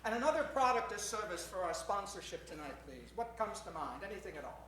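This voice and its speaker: A man with a very high-pitched voice works a live audience as stage announcer. He is courteous and mock-formal, like an old-time radio showman. He is heard far from the microphone in a very echoey hall. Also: lively intonation, quick pace, pushed and bright. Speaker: stage announcer warming up an improv show. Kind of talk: theatrical live emceeing to an audience.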